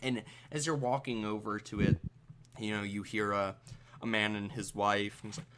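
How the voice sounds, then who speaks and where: A game master with a mid-pitched voice narrates an improvised tabletop scene. The delivery is conversational and hesitant, feeling out each description as it goes. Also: fairly even intonation, moderate pace, noisy background.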